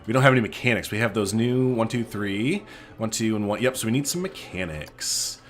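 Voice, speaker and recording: A man's voice: fairly low pitch, expressive, fairly quick. A man hosting a gaming video, chatting casually and playfully as he thinks aloud through his play. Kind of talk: casual let's play commentary.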